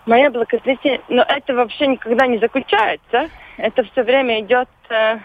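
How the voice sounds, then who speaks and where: A woman with a Latvian accent, whose first language is Latvian, speaks as a guest on a radio show by phone. She talks hesitantly and often pauses to search for words. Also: on the high side. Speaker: volunteer guest. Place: phone call into a radio studio. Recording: dull lo-fi phone line.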